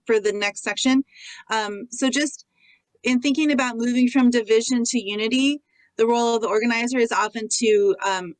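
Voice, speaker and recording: A woman's voice: fairly high pitch, dry recording, low-quality recording. Woman facilitator, dry close sound. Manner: explanatory, fillers like "you know" and "like". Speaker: workshop facilitator. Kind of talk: teaching an organizing workshop.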